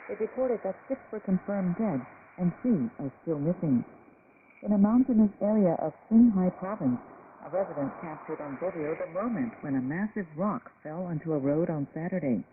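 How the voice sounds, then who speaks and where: A woman's voice: on the low side, lively intonation, moderate pace. A woman reading scripted international news in a formal manner as a radio anchor. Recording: noisy, narrowband shortwave radio reception.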